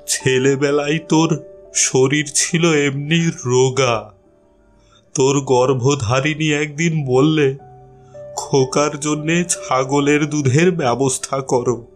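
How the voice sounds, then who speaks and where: A man voicing a frail, querulous old grandfather in an audio story, halting and plaintive, rambling and repeating himself. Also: mid-range voice, pitched above the performer's own voice. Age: older. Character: frail, forgetful, querulous old grandfather craving his son's care. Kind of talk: Bengali audio story acting.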